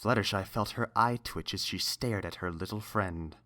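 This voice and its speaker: Very deep-voiced female story narrator. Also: normal speed, pushed and bright.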